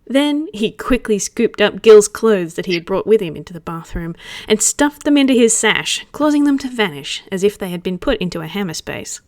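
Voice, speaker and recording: A woman's voice: medium pitch, lively intonation, moderate pace, no room sound, clean studio sound. A woman narrating a roleplay story read aloud in the third person. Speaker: roleplay reader.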